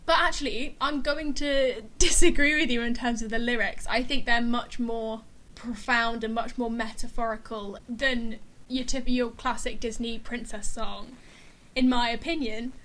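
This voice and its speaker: A woman's voice: on the high side, fairly even intonation, a little slow, fairly bright tone, poor audio. A woman co-hosting a music show, talking casually and enthusiastically about songs.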